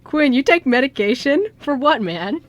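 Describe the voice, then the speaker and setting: A woman's voice: high-pitched, sing-song, normal speed, no room sound, voice pushed up. A woman playing a sassy, casual young male ghost in an amateur radio play. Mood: cheeky. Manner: casual, flippant.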